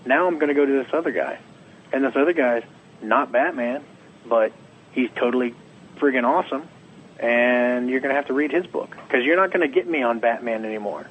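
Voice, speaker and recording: A young adult man, a comics writer being interviewed, slightly hoarse, talking in a rambling, animated way and acting out quotes. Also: medium pitch, a little fast, highs cut off, slight background noise. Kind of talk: casual interview.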